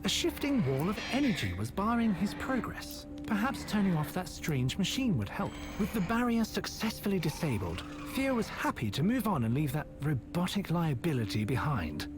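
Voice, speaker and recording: A wry storyteller voiced by an actor narrates an adventure game like a storybook, with theatrical flair and disdainful asides. The pitch moves in lively swings, and music plays behind the voice. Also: normal speed, hardly any pauses, natural voice. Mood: wry, storytelling. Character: omnipotent storyteller, wry, openly irritated by robots.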